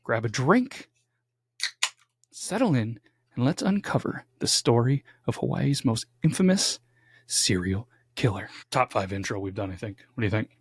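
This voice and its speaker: Young male podcast host, mid-pitch, chatty and jokey, dry close studio sound. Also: sing-song, normal speed, husky, dry recording. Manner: chatty, jokey, dramatic build-ups. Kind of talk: casual true-crime podcast chat. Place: home studio.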